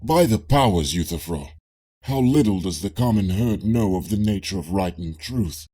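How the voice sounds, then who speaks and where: A man's voice: deep voice, animated, normal speed, mellow, husky. A man voicing an inquisitive, mock-humble ancient Greek philosopher, formal and earnest, in an audiobook dialogue. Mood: astonished, probing.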